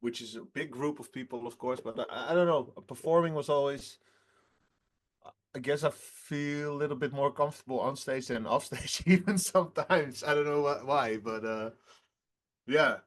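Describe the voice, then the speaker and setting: Young man answering with halting laughs. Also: mid-range voice. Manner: halting, laughs often between phrases. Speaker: rock band singer, guest. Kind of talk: casual interview answers.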